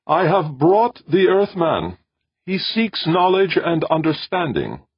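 A man voicing a stiff, solemn old man, a formal and deliberate guide in a science fiction audiobook. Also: sits low, very animated, slightly rough, no room sound, lo-fi sound, voice pushed up, full character voice. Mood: reverent announcing.

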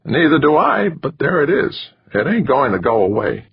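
A wiry, edgy campaign manager, put on by a male narrator, with a thin, scratchy voice pitched well above the narrator's own. He pushes and insists, his tone leaping around as he argues. The audiobook recording sounds dull and lo-fi. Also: very animated, normal speed, gravelly, husky, heavily acted. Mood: insistent. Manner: pushy, urgent persuading.

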